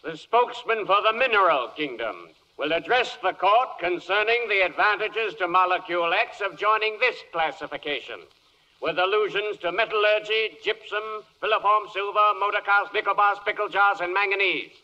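Officious court crier, high voice, extremely bright and forceful, formal declamation, old radio comedy. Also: male voice, normal speed, dull audio. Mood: proclaiming. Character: officious male court clerk crying out proclamations.

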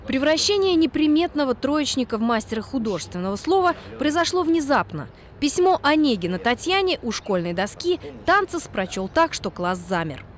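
A woman narrating a TV news report as a voice-over, measured and expressive. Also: high voice, a little hiss or hum. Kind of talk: scripted narration.

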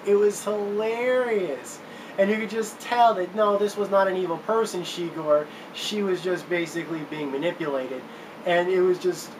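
A man in his twenties giving casual countdown commentary to camera as a video game reviewer, recorded in a room at home. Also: high voice. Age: 20s. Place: small room at home, indoors. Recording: noticeable background hiss.

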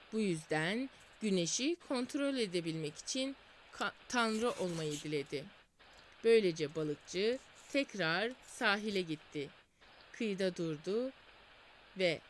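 Expressive woman reading a fairy tale. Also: medium pitch, slight background noise, rough recording. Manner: expressive, sing-song storytelling. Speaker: storyteller. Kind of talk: scripted fairy-tale narration.

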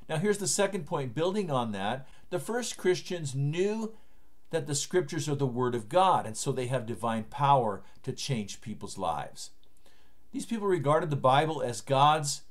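A man in his fifties, a pastor, giving an earnest, emphatic recorded sermon to the camera from a book-lined home study. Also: a little high. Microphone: webcam framing, seated at desk.

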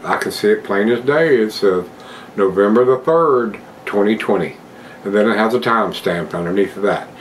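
A man's voice: on the low side, slight background noise, poor audio. An older man at home casually reviewing a beer, chatting to his viewers in a folksy way. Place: home room with a desk and plants, indoors.

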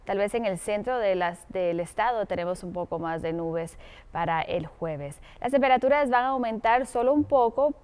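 Woman tv anchor doing news n weather, clear smooth voice, studio. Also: medium pitch, lively intonation, normal speed, a little mellow. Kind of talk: broadcast news and weather presenting.

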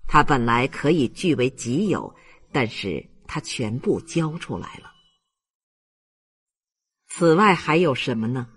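A man's voice: mid-range voice, big pitch swings, slow pace, pauses often, fairly bright tone, slightly rough. A man narrating a literary audiobook in a dramatic storytelling style.

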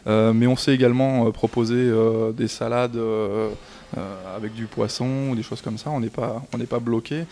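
A man in his thirties talks about his restaurant into a handheld reporter mic, indoors. His voice sits at an ordinary middle pitch. He hesitates often, filling pauses and restarting phrases. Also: steady tone, dark tone. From France. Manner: hesitant, many fillers and restarts. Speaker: restaurant manager. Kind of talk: on-camera interview.

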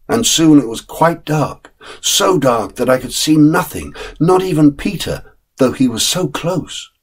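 A man voicing a loyal, plain-spoken dog who narrates a storybook tale in a measured, wistful, husky way. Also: fairly high pitch, expressive, voice pushed up. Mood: wistful, earnest. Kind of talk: dramatic short story reading.

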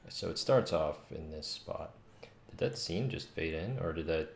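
Very deep, gravelly man thinking aloud. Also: animated, a little slow. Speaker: game developer streaming. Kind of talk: casual think-aloud livestream while coding. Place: small home room, indoors. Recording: roomy, faint noise, keyboard clicks.

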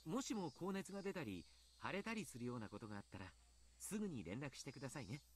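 An actor voicing a mild, polite, teasing doctor in a Japanese visual novel, speaking in a courteous, measured manner. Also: male voice, mid-range voice, sing-song, a little hiss or hum, lightly acted. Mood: calm, reassuring. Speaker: doctor and baseball coach character.

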